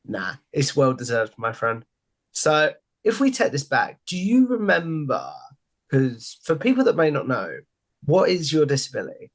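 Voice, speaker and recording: A man's voice: on the high side, very animated, normal speed. A young man hosting a podcast from home over a video call headset, asking friendly, rambling questions and leaning on the same stock phrases. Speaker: podcast host. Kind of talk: casual interview questions. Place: home room.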